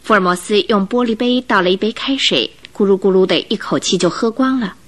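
A woman's voice: medium pitch, a little fast. Woman narrating a radio drama.

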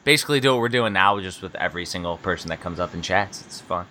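A lowish American man in his thirties who chats in a loose, rambling way and laughs often. His voice has a forceful, bright edge. He sounds like a relaxed podcast host. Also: a little fast, slight background noise. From United States. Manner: laid-back, rambling, laughs often, many fillers. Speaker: podcast host and book publisher. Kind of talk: casual interview chat.

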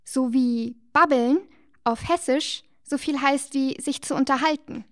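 Woman, children's co-host, cheerful explainer, slightly high pitch, dry sound. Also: steady tone, a little slow, no room sound. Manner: bright, explaining, gently teasing. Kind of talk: scripted children's educational dialogue.

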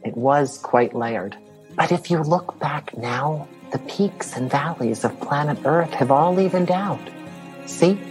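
A man's voice: on the high side, lively intonation, slow pace. A man voicing an optimistic, wide-eyed alien who reassures earnestly in an audio drama. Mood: reassuring, optimistic. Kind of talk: scripted audio drama acting. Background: music.

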